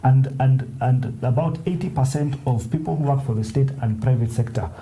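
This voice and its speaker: A man co-hosting a casual panel talk show, explaining at length with frequent restarts and quick affirmations. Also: mid-range voice, dark tone. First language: not Italian. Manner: halting, many restarts and affirmations. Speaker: co-host.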